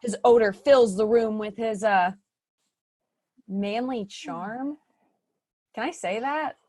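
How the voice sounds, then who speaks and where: A woman's voice: a little high, mellow. A woman narrating a stage play, playful and amused at her own jokes. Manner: playful, self-amused, slow.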